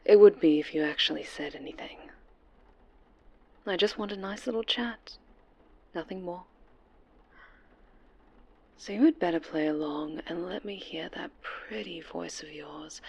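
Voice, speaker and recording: A woman playing an obsessive female supervillain stalker in an ASMR horror roleplay, breathy and often whispering, sweetly threatening and taunting. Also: fairly low pitch, exaggerated voice, low-quality recording. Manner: slow, taunting, possessive.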